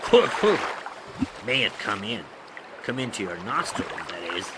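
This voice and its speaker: A man's voice: on the low side, very animated, unhurried, heavy background noise. An actor playing a sly, mocking old male ferryman who gives dry, clipped answers in a game. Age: older. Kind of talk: game voice acting.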